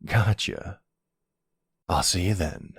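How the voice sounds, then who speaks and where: A man's voice: deep voice, slow, slightly rough, no room sound, heavily acted. A man playing a flirty, sardonic male vampire in an ASMR roleplay drama, teasing his rival with smug, dry sarcasm. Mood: smooth, polite.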